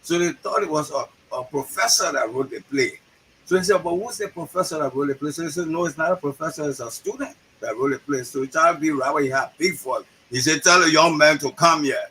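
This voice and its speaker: A man in his sixties with a Liberian accent, a playwright telling stories in an interview, animated and often repeating words. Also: fairly high pitch, a little fast, a little hiss or hum, rough recording. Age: 60s. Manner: animated, repeats words, acts out quoted voices. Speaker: guest, playwright. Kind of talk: storytelling interview talk.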